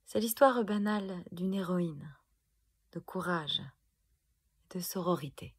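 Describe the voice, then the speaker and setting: A woman reciting spoken-word poetry with deliberate, dramatic phrasing and a breathy, husky voice. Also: lowish voice. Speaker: poet performing own protest poem.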